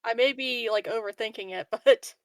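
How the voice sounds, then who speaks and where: A woman's voice: on the high side, moderate pace, dry recording. A woman chatting casually as a tabletop game player, reasoning aloud in a tentative way.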